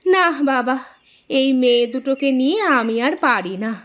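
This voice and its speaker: A woman's voice: high-pitched, lively intonation, normal speed, muffled recording. A woman playing a melodramatic, scolding village mother of two daughters in an exclamatory, teasing cartoon style. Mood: exasperated, mocking. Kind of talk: Bengali moral-story cartoon acting. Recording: music behind the voice.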